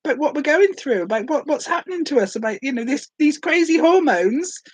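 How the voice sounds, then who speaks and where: A woman's voice: high-pitched, animated, brisk, no room sound. A woman in her late forties from Hereford, chatting casually and rambling a little in a first-ever vlog while full of a cold with a sore throat. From England, Hereford. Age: late 40s. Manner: rambling, self-deprecating, chatty. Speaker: vlogger.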